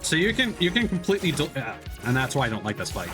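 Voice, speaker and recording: A man's voice: fairly high pitch, very animated, fast talker. A man streaming a video game, giving casual, off-the-cuff commentary. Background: synth game music.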